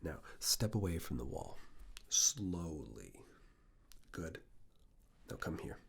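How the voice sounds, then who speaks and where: A man acts out a stern male fitness examiner in an ASMR roleplay, with an extremely low, gravelly voice. He gives clipped, hushed orders very slowly, controlling but reassuring, and often drops to a whisper. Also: big pitch swings, heavily acted. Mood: calm, commanding. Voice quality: breathy, gravelly. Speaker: ASMR performer. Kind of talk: ASMR personal attention roleplay.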